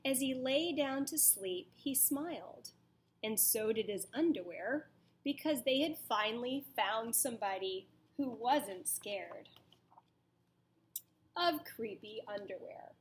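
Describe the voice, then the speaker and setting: Female children's read-aloud teacher. High-pitched, very unhurried, dramatic storytelling, puts questions to young listeners. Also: animated. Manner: deliberate, dramatic storytelling delivery.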